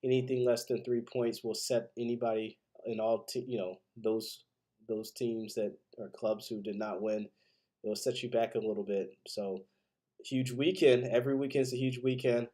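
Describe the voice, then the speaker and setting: A man's voice: medium pitch, fairly even intonation, normal speed, warm tone. A man with a congested, head-cold voice hosting a solo sports podcast, giving opinionated commentary in a conversational way. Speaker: podcast host. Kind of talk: solo football commentary and predictions.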